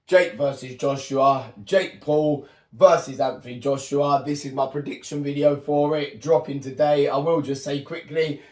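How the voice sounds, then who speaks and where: Hoarse man, echoey room. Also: fairly high pitch, steady tone, moderate pace, darkish tone, distant mic. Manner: blunt, swears freely. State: hoarse with flu. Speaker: boxing YouTuber. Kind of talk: casual prediction monologue.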